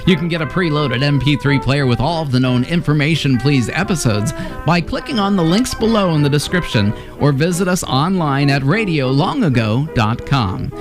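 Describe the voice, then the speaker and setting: A man presents scripted history narration in a fairly high voice. His intonation moves around a lot, and clear music plays behind him. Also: expressive, normal speed. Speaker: narrator of radio history series.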